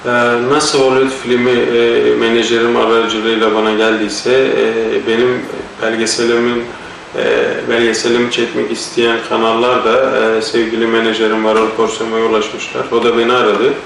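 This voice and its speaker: A man's voice: mid-range voice, completely flat delivery, normal speed, vocal fry, fairly bright tone, a little hiss or hum. A man answering interview questions hesitantly, with many filler sounds and a blocked nose. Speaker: singer and actor interviewed. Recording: echoey room, mic far off.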